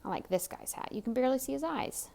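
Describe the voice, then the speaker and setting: A woman's voice: sits high, lively intonation, quick pace, gravelly, a little mellow. A woman reading a children's story aloud expressively, with chatty asides and questions to the young listener. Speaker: picture-book reader.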